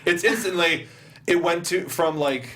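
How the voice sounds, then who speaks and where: A man hosting a casual film review discussion, with an animated manner that slips into mocking quotes. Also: on the high side, measured pace. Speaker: talk show host. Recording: noisy room with electrical hum.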